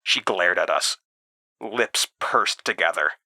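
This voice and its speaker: A man's voice: low voice, slow, bright, forceful tone, no room sound, clean studio sound, heavily acted. An actor playing an uneasy man who recounts childhood memories in a confiding, hesitant audio drama monologue. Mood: amused.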